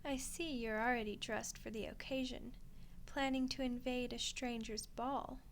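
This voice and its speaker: A centuries-old vampire queen, played by a woman, speaks in a fairly high, very slow voice. She is gracious and teasing, coaxing her guest with formal courtly phrasing in an ASMR roleplay, over noticeable noise. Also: steady tone. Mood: amused, inviting. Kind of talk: ASMR roleplay monologue addressed to the listener.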